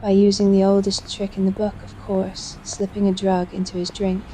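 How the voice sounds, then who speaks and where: A woman playing a smug, dark-voiced killer. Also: mid-range voice, monotone, normal speed, slight background noise, natural voice, poor audio. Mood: smug, amused. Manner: self-satisfied, mocking murmur. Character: smug, arrogant female killer who feigns sweet innocence. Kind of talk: dramatic roleplay dialogue.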